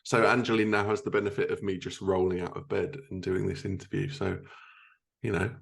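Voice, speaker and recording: A man's voice: low voice, little pitch movement, fairly quick, vocal fry. A man hosting a podcast in an informal, self-deprecating way. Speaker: podcast host.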